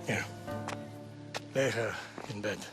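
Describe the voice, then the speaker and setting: A man's voice: on the low side, slow, fairly bright tone, soft background music. An actor playing a stern, proud Spartan father in a weighty, commanding video game performance. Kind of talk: video game cutscene acting.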